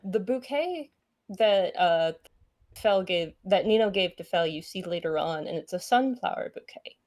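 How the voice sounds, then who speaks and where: A woman co-hosting a book club, explaining things casually in a measured, halting way and reading character lines aloud. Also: on the low side, animated, a little mellow. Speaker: co-host reading a visual novel aloud.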